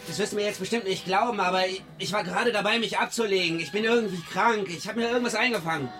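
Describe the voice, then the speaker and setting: An actor playing a nervous, evasive debtor in a radio crime drama, rambling hesitantly through placating excuses. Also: male voice, high-pitched, fast talker, bright, forceful tone. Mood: falsely cheerful. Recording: music clearly behind the voice.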